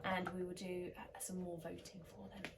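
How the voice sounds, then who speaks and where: A woman teacher reading a picture book aloud to children, animated and expressive with soft whispered asides. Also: on the low side, moderate pace, gravelly, very noisy. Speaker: teacher reading a bedtime story.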